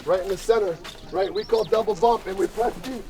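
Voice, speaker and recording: High-voiced man coaching from afar. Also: a little fast, mellow. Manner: tosses in "right?" after short points. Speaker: combat coach. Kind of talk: hands-on seminar instruction. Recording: distant mic outdoors.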